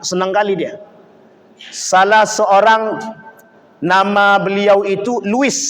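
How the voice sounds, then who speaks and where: A man's voice: high-pitched, fairly bright tone. A man preaching a sermon to a congregation. He acts out quoted voices and keeps putting questions to his listeners. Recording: long reverb of a hall.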